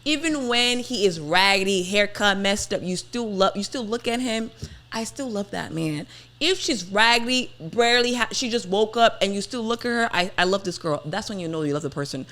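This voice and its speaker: A woman's voice: medium pitch, lively intonation, moderate pace, a bit bright. Twenty-something woman on a podcast, pushy and challenging, keeps hammering her point. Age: 20s. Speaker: podcast host. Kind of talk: casual debate chat.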